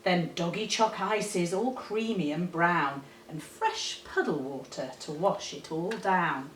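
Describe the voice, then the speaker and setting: Female storyteller for rhyming children's tale, expressive and deliberate, recorded at a distance in a roomy space. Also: fairly low pitch, slight background noise. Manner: animated, dramatic, deliberate storytelling. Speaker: teacher reading a storybook. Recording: roomy, echoey, distant microphone.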